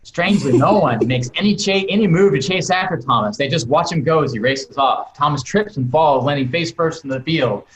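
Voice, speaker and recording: A man's voice: on the high side, a little fast, hardly any pauses, fairly bright tone. A man reading scripted stage directions aloud with dramatic, emphatic storytelling. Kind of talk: scripted narration read aloud. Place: indoors, on a video call. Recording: roomy video call sound.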